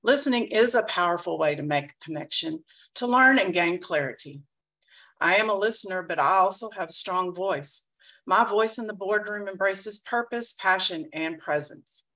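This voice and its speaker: A woman reading a prepared campaign speech as a board candidate, measured and earnest. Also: medium pitch, sharp tone, poor audio.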